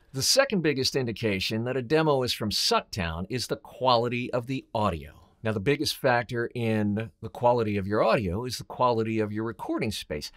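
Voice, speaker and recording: A man's voice: medium pitch, fairly bright tone. A middle-aged man hosting a scripted advice video to camera, speaking in a blunt, emphatic, colloquial way. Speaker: host, voiceover coach and voice actor.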